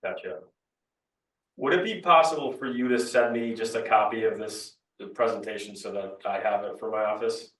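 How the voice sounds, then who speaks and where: A man's voice: on the low side, normal speed, a bit bright. A commission member, a man, asking short, casual questions at a meeting. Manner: brief, casual replies. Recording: echoey room, voice far from the mic.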